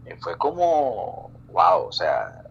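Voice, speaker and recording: A man from El Salvador, a guest in a casual live-stream chat, talking in a halting way with many restarts and fillers. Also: low-pitched, wildly swooping pitch, gravelly, slightly rough, muffled recording.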